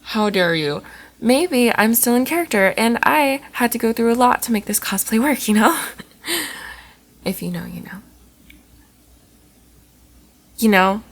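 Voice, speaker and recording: Playful young cosplayer girl, soft and crackly, woman acting. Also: fairly high pitch, lively intonation, unhurried. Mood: flustered, playful. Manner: giggly, coy, often dropping into soft breathy murmurs. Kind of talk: ASMR roleplay, intimate one-sided dialogue to the listener.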